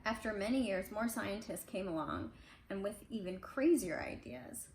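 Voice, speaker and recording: A woman's voice: medium pitch, sing-song, normal speed. A woman reading a storybook aloud to kids at home, breaking off for casual, chatty asides to a child. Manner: chatty asides to child between read passages. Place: indoors at home. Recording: roomy echo, clear background noise.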